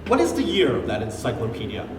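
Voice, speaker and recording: A man's voice: medium pitch, huge pitch swings, fast talker. Young man playing pompous Trotsky, swooping theatrical delivery. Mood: puzzled, self-important. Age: young adult. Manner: declamatory, theatrical. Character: Trotsky, pompous, oblivious middle-aged revolutionary man. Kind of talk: comedic stage play acting. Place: school stage, recorded from the audience. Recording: noisy echoey hall, distant mic.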